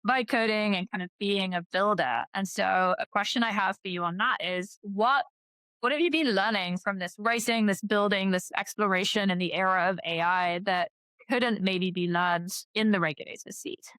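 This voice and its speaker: A woman's voice: mid-range voice, moderate pace, fairly bright tone, dry recording. A woman co-hosting a podcast, posing a question to her guest in a friendly, casual way. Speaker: podcast co-host. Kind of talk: casual interview talk.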